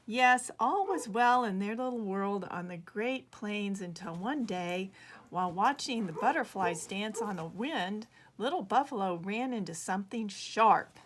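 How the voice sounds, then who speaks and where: A woman reading her own children's book aloud as a measured, expressive storyteller. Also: mid-range voice, a little hiss or hum. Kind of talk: storybook narration read aloud.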